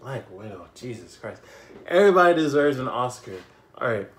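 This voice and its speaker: A young man giving a casual, unscripted movie recap, telling it in an animated way with repeated phrases and slangy asides. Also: mid-range voice, normal speed. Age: young adult. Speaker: YouTube film reviewer. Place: small bedroom, indoors.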